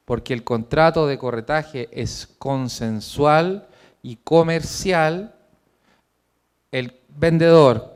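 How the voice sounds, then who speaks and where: Formal lecturing man, smooth voice. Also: fairly high pitch. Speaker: author presenting his book. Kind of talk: lecture with audience questions. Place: hotel conference room.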